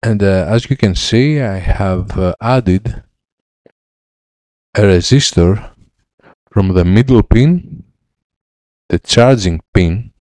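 A man in his thirties with a Greek accent, a repair technician explaining a laptop fix in halting English with many fillers, on a headset mic in his lab. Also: lowish voice, a little mellow, dry recording. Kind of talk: hands-on repair tutorial. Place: electronics repair lab, indoors.

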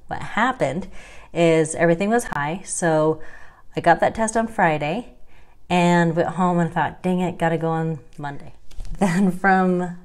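A woman's voice: on the low side, darkish tone. A woman in her thirties talking to a webcam at home, chatty and wry, laughing often as she tells her story. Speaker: vlogger sharing her health journey. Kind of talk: casual talking-head vlog. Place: indoors at home, small room.

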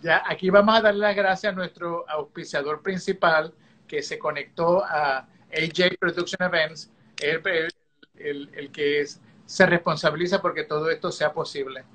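Chatty middle-aged male co-host, bright tone. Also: a little high, steady tone, moderate pace, low-quality recording. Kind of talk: interview hosting.

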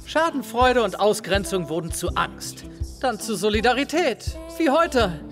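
A man's voice: high-pitched, very animated, a little slow, music in the background. A young man playing a smug, scheming teenage schoolboy in an audio drama, with a taunting, theatrical manner. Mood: accusing. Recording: long reverberant hall sound.

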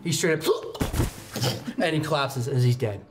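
A man's voice: medium pitch, expressive, a little slow. A man in his twenties improvising narration as the game master of a tabletop game. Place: indoor studio set. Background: background music.

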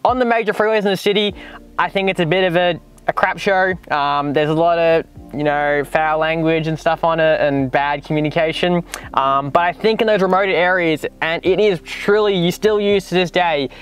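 A young Australian man in his twenties presents a casual vlog. His voice sits a bit high for a man, and he talks in an informal, enthusiastic way, as if chatting to mates. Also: fairly even intonation, moderate pace, clean tone. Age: 20s. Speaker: vlogger and presenter. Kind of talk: casual vlog review to camera. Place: in a car and outdoors in the bush.

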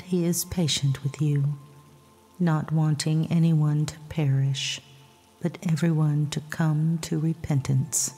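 A woman softly narrating a scripted guided sleep meditation with scripture readings, in a hushed, breathy, soothing and measured voice. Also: deep voice. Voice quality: soft, breathy, husky. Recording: long reverb, soft music behind.

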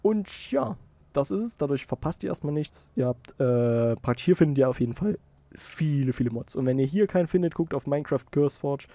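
A man giving a casual software tutorial, informally thinking aloud as he goes. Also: on the high side, lively intonation, fairly quick, no room sound. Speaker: tutorial host.

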